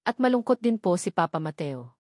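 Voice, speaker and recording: A woman's voice: fairly low pitch, sing-song, fairly quick, darkish tone, dry recording, studio quality. A woman voicing an innocent, worried little girl in a narrated story, polite and questioning.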